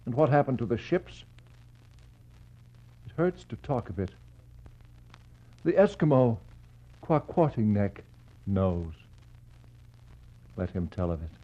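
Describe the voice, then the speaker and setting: A slightly dull 1960s radio recording of a brooding explorer. The voice is fairly low and very deliberate. He declaims verse gravely, mournful and questioning. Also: expressive. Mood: pained. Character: brooding male Arctic explorer, reflective and mournful.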